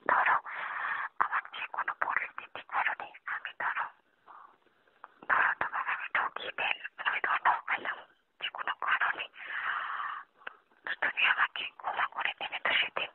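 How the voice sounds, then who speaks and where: A person speaking in a hushed, breathy whisper, pleading through an intimate relationship argument on a phone call. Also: moderate pace, long pauses. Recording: muffled tinny phone call recording.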